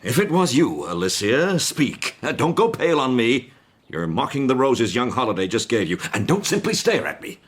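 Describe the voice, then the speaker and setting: A man's voice: mid-range voice, sing-song, moderate pace, husky. An actor playing a stern, indignant middle-aged upper-class father, commanding and formal in a period detective radio drama. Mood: stern, pressing.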